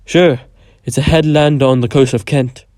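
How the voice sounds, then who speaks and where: A man's voice: medium pitch, fairly even intonation, moderate pace, a little mellow, dry recording, studio quality, lightly acted. A man acting as a guarded, cocky lone survivor in a game cutscene, blunt and self-assured. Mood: matter-of-fact.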